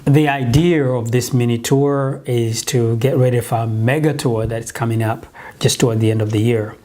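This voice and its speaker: A man's voice: mid-range voice, steady tone, normal speed, a little mellow. A man in his thirties with a Ugandan accent, giving an earnest, urging motivational talk to camera on a lapel mic in a small office kitchen. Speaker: business coach.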